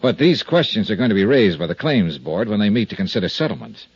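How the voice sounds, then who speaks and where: An American actor playing a shrewd, tough insurance investigator in a 1950s radio drama, with a clipped, probing, coolly polite delivery. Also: male voice, fairly low pitch, big pitch swings, quick pace, slightly rough, no room sound, exaggerated voice. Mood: firm. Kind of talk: 1950s radio detective acting, narration and dialogue. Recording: muffled 1950s radio recording.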